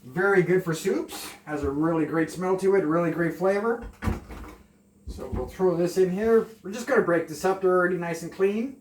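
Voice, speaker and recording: A man in his forties rambles cheerfully while he cooks, poking fun at himself and admitting camera nerves. His voice sits a bit high. The sound is roomy and picked up from across a small home kitchen, with a faint hiss behind. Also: moderate pace. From Canada. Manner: rambling, self-deprecating, jokey asides. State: nervous on camera. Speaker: home cooking vlogger. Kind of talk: casual cooking chat. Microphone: camera a few steps away. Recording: roomy, distant camera mic.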